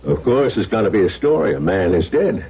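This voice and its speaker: An actor playing a measured, folksy small-town sheriff and old family friend in a 1970s radio drama. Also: male voice, sits low, big pitch swings, breathy edge, exaggerated voice. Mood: measured, reasoning. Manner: folksy, deliberate, reasoning aloud. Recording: dull lo-fi old radio broadcast.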